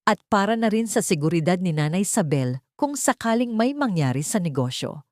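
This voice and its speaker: A woman voicing a caring, soft-spoken wife who coaxes tenderly while secretly scheming, in an audio story. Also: fairly low pitch, animated, fairly quick, dry recording, clean studio sound, lightly acted. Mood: tender coaxing. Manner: tender, coaxing.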